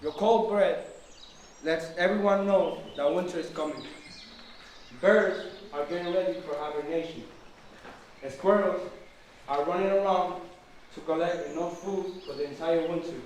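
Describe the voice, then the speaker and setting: A man's voice: fairly high pitch, normal speed, pauses often, darkish tone, close to an everyday voice. A man playing a kindly old forest king who tells stories in a puppet show. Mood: reminiscing. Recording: very echoey room, distant mic, faint noise.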